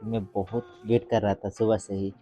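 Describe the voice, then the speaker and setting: A man from Bihar gives casual, informal vlog commentary, reading English phrases aloud haltingly. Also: lowish voice, level tone, mellow, soft background music. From Bihar, India. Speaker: vlogger.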